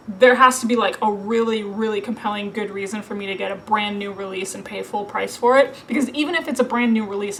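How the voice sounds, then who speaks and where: A woman's voice: a little high, fairly bright tone, slight background noise, poor audio. A woman in her thirties from Minnesota, chatting casually to camera as a book vlogger, with lots of fillers and frequent laughs. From Minnesota, USA. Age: 30s.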